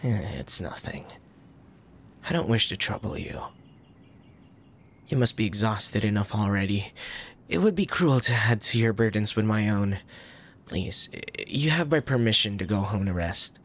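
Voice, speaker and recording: A man playing a soft, tender young crown prince in an intimate ASMR roleplay, speaking formally with a gentle, hesitant manner and a troubled air. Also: fairly low pitch, animated, normal speed, long pauses, slight background noise. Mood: apologetic, troubled. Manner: formal old-fashioned wording, gentle, hesitant.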